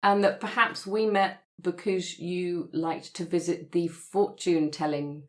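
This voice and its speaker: A woman taking part in a roleplay podcast, pitching story ideas in casual conversation. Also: a little slow.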